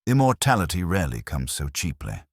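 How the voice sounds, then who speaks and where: Man as a deep-voiced, courteous, shrewd police inspector. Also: sing-song, fairly quick, creaky, slightly rough, dry recording, clean studio sound, full character voice. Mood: mild, probing. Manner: gentle, polite, quietly probing.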